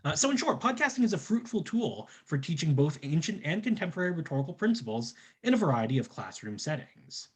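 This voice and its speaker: Husky-voiced man presenting research, animated pitch. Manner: frequent uh before phrases, formal scholarly wording. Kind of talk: scripted academic presentation read aloud.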